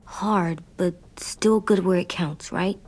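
A woman playing an anxious, stubborn young boy in a dramatic video game, speaking earnestly in halting, childlike phrases. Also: on the low side, darkish tone, husky. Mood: troubled, confiding.

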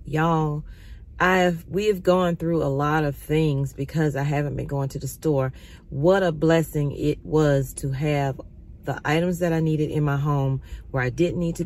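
A middle-aged woman vlogging from a parked car, her voice still slightly raspy as she recovers from illness, talking casually to her viewers and calling them y'all. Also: sits low, darkish tone. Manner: chatty, addresses viewers as y'all. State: recovering, occasional cough. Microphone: phone camera at arm's length.

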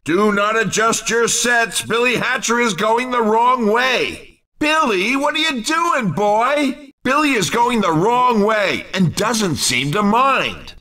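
An actor playing an excitable, wisecracking male commentator in a racing video game, with a playful sports-announcer delivery. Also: very high-pitched, takes it slowly, recorded from a distance, exaggerated voice. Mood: excited, puzzled. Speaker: race commentator.

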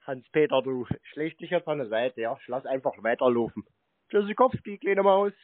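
A man's voice: mid-range voice, lively intonation, fairly quick, no room sound. A man making a teasing prank phone call, mocking and crude, tossing out cheeky pet names. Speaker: scambaiting prank caller and show host. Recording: dry, band-limited phone-call recording.

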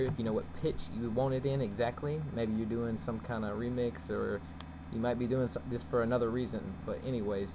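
A man giving a casual, folksy software tutorial as its host. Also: medium pitch. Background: background noise.

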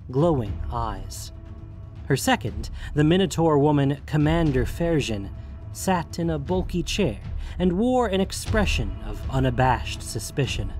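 A man's voice: medium pitch, darkish tone. Male story narrator over music. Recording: music bed under voice. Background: background music.

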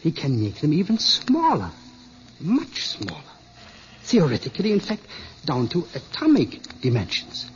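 High-pitched, animated old scientist. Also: male voice, a little slow. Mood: earnest, lecturing. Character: elderly absorbed scientist, earnest, slightly distracted father. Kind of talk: 1950s radio drama acting. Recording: muffled old radio recording with hiss.

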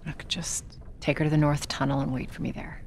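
An actress playing a tough, blunt smuggler with an American accent in a video game cutscene, curt and hard-nosed. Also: female voice, low-pitched, level tone, a little fast, a little mellow, a little hiss or hum. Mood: firm, demanding.

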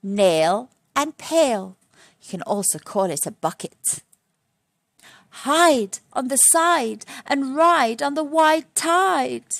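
A woman's voice: sits high, animated, slow pace, vocal fry, no echo, studio quality. A woman reading a picture book aloud as a phonics lesson for young children, teacherly, setting out words one by one and putting questions to her listeners. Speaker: children's phonics storytime reader.

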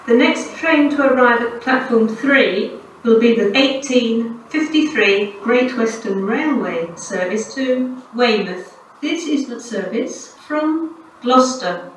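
A woman's voice: a little high, expressive, slow pace. Echoey automated British woman station announcer. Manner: formal, segmented, pieced-together delivery. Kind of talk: scripted public-address announcement. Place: railway station announcement system. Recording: echoey station PA, distant, noisy.

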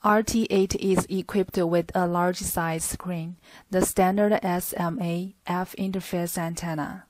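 A woman's voice: fairly low pitch, fairly even intonation, measured pace, darkish tone, dry recording. A woman reading a scripted product tutorial in a stilted, phrase-by-phrase way. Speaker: product demonstrator.

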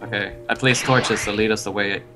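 A man's voice: lowish voice, steady tone, brisk. A man bantering casually with a friend while playing a video game. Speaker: gaming co-player. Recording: music under the voice. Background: background music.

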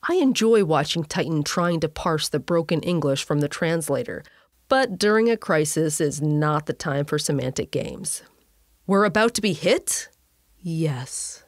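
Woman narrator, kinda low voice, reading scifi with wry chatty asides, dry close sound. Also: expressive, moderate pace, no echo. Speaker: narrator and writer of a sci-fi audio series. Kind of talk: scripted story narration.

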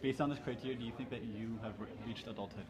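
A man reads his interview questions quickly and politely. Crowd chatter hums behind him. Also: medium pitch, steady tone.

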